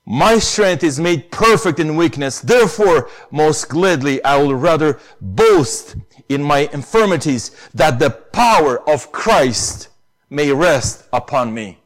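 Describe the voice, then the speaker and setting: A man's voice: on the high side, expressive, slow. A man with a Romanian accent preaching a sermon earnestly, pressing hard on key phrases. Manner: earnest, rises to emphatic stresses on key phrases.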